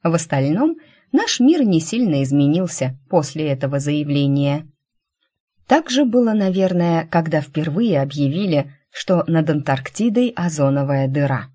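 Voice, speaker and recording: A woman reading fiction as an audiobook narrator, in a conversational, expressive storytelling manner. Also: low-pitched, moderate pace. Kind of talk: scripted first-person fiction narration.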